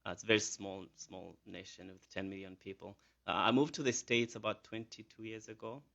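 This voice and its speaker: A man's voice: fairly low pitch, steady tone, brisk, vocal fry, bright, forceful tone, dull audio. A man in his thirties from Rwanda with a Rwandan accent, a physician answering radio interview questions with many fillers and restarts. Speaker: physician guest.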